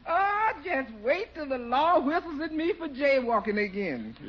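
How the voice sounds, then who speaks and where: A woman's voice: high voice, big pitch swings, measured pace. An actress playing a jolly, folksy housekeeper who teases her employer, in 1940s radio comedy style. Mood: gleefully teasing. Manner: folksy, teasing, big chuckling delivery. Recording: muffled 1940s radio recording.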